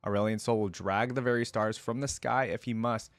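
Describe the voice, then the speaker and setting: Male reaction host, lower register, dry recording, casual asides between read-aloud passages. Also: fairly even intonation, a little fast, no echo. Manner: informal, rambling asides. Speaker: host of a game lore reaction channel. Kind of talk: casual reaction chat mixed with reading aloud.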